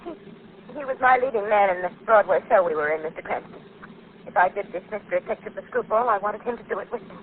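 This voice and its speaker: An actress playing a woman caught in a mystery, in the expressive, dramatic style of 1940s American radio. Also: mid-range voice, fast talker, natural voice. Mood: anxious. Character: women of a mystery: sleuth's companion, showbiz actresses. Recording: tinny, noisy old 1940s radio broadcast.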